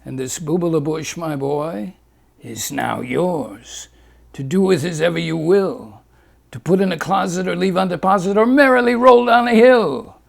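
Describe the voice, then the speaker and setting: A whooping old doctor in a hat, as a man puts him on, rolls out his rhymes in a sing-song lilt. The voice is gravelly and slow, pitched a touch high. It sounds like a storybook read aloud. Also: full character voice. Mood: jovial.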